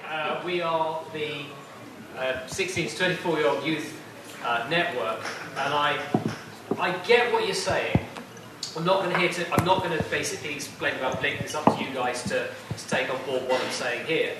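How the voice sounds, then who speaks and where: A man speaking up from the audience without a microphone, loud and assertive, rambling with fillers. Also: on the high side, moderate pace. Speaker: audience questioner. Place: conference hall audience. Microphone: no microphone, far from mic. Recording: far-off, echoey with noise.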